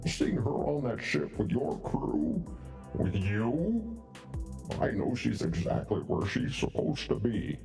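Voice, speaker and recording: An actor playing a protective, plainspoken middle-aged father in a video game, frank and folksy with a quiet threat underneath. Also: medium pitch, wildly swooping pitch, slow pace, creaky, recorded from a distance, faint music behind, exaggerated voice. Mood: tender, reflective. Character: protective, plainspoken older father of an outlaw woman. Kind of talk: scripted video game voice acting.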